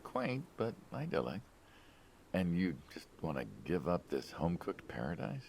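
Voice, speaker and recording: Casting: city mob hitman in a narrative game, teasing and conversational, deep creaky voice with lively swoops. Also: moderate pace, a little hiss or hum, full character voice. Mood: wry, teasing. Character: wry city mobster hitman, reluctant friend to farmer. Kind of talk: naturalistic dramatic game dialogue.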